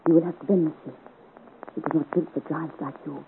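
A woman's voice: sits low, fairly even intonation, a little fast, gravelly. Scared but determined young woman, urgent old radio drama style, tinny hissy recording. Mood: urgent, guarded. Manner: urgent, melodramatic. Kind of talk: 1950s radio serial acting. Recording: scratchy, muffled 1950s radio recording.

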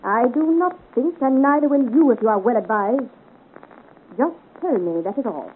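A woman's voice: a little high, lively intonation, normal speed, husky, phone-quality sound. An actress playing a haughty, scheming noblewoman with a commanding, theatrical delivery, in a 1950s radio serial on a thin, noisy recording. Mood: impatient. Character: imperious, scheming French noblewoman.

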